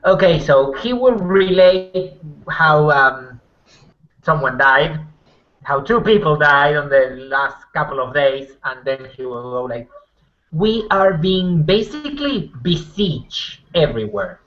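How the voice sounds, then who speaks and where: Halting higher-pitched man roleplaying. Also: expressive, highs cut off. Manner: halting, repeats phrases. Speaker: tabletop role-player. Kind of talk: casual improvised in-character roleplay.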